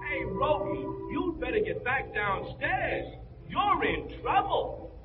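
A man in a 1940s radio drama voicing an impish, teasing alter ego spirit with a drawn-out singsong. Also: extremely high pitch, big pitch swings, exaggerated voice. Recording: tinny vintage radio, music behind.

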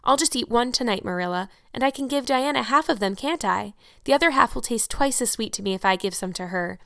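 A woman's voice: a little high, lively intonation, fairly quick, gravelly, a bit bright, no room sound, pitched above the performer's own voice. A woman voicing an eager, dreamy young girl who talks effusively and romantically. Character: chatty, dreamy, eager girl, about eleven.

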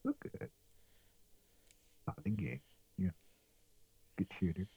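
Low husky laid-back gamer man. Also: huge pitch swings, slow pace, narrow, tinny audio. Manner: laid-back, short replies. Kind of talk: casual gaming chat.

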